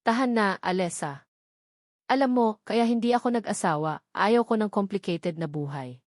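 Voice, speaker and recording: A woman voicing a caring, frank middle-aged aunt in a novel reading, gently giving advice. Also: medium pitch, moderate pace, no room sound, studio quality, lightly acted. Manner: gentle, advising.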